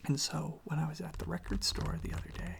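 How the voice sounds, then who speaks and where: An ASMR creator speaking very softly, often in a whisper, in an unhurried, rambling casual chat with self-interruptions, close to the microphone. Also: mid-range voice, flat delivery, vocal fry. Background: tapping and handling of records.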